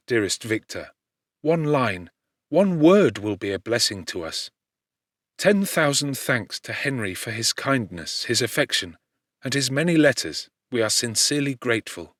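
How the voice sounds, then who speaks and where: Expressive male audiobook narrator. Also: mid-range voice, no room sound, studio quality. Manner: expressive, measured storytelling delivery. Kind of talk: scripted literary narration.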